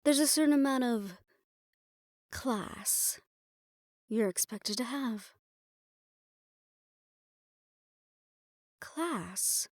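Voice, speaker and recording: A woman's voice: a little high, expressive, slow pace, a little mellow, no echo, studio quality. A woman playing a poised, imperious hotel manager in an audio roleplay, speaking formally and with polite condescension. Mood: pointedly polite. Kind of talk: scripted audio roleplay addressed to the listener.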